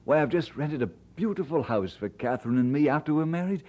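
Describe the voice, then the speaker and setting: An actor playing an earnest, playful and devoted young Scottish preacher in an audio drama, speaking expressively. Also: male voice, fairly high pitch, expressive, fairly quick, dark tone. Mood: modest, flustered. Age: young adult.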